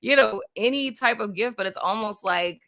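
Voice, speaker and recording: A woman's voice: medium pitch, normal speed, a bit bright. A woman from Puerto Rico hosting a casual spiritual live stream, rambling loosely with lots of "like" and "you know what I mean" and frequent laughter. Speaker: livestream host.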